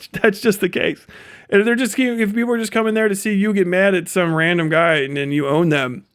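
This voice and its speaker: A man's voice: high voice, fairly even intonation, brisk, fairly bright tone, clean tone. A man giving off-the-cuff livestream commentary in a blunt, profane, sardonic way. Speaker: live streamer.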